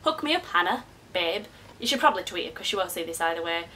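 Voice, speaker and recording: A woman's voice: lowish voice, lively intonation, quick pace, pushed and bright, a little hiss or hum. A woman in her early twenties talking casually to camera in a self-mocking, chatty vlog, with frequent swearing. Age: 20s. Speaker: YouTuber.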